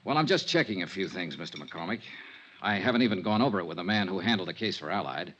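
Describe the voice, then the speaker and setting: A man's voice: low voice, lively intonation, fast talker, fairly bright tone. An American man acting in an old radio drama as a shrewd, unflappable insurance investigator, with a clipped, wry, businesslike delivery. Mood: cool, probing. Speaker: radio actor playing lead detective. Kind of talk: 1950s radio detective drama acting, first-person narration. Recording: muffled, noisy 1950s radio recording.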